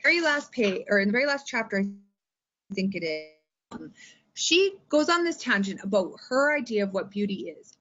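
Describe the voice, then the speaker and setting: Female Canadian presenter, higher-pitched, animated and informal teaching delivery, slightly dull lo-fi sound. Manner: animated, expressive, digressive. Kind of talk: informal instructional talk.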